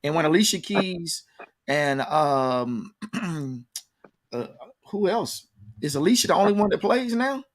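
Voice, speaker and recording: An American man with a slightly high voice works as an interview host. He talks casually and in a folksy manner, circling back and repeating phrases as he goes. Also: animated, measured pace, long pauses.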